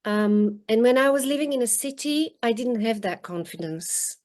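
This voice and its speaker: A woman in her forties, from Bulgaria, giving thoughtful interview answers over a video call, pausing often with ums and restarts. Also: on the high side, darkish tone. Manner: halting, many restarts and ums. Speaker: guest author. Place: home in rural northern countryside.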